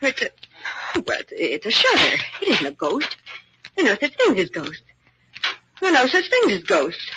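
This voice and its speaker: A plucky young heroine from a vintage radio play. Her high voice swings expressively, bossy and theatrical over hidden nerves. The old recording has a clear hiss. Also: wildly swooping pitch, moderate pace, long pauses. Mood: brave but nervous. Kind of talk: old-time American radio drama acting.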